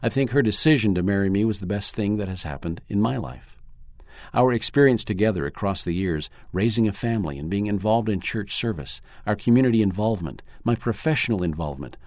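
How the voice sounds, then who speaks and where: A man reading a first-person article aloud in a measured, earnest way. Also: on the low side, animated, a little mellow, no room sound, clean studio sound. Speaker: narrator.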